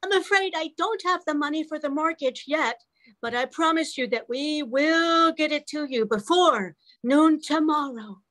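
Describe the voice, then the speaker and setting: A woman's voice: high voice, normal speed, a bit bright, poor audio. An older woman playing an apologetic, woeful old granny in a radio melodrama, speaking hesitantly. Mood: apologetic, resigned. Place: at home on a group video call.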